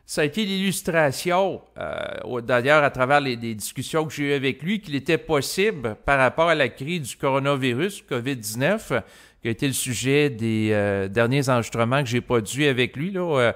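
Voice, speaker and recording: A man from Quebec with a Québécois French accent, speaking an unscripted reflective monologue to listeners in a halting, roundabout way with many hesitations. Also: medium pitch, a bit bright. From Quebec, Canada. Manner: halting, roundabout, with frequent hesitations and long lead-ins. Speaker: host of spiritual self-help recordings.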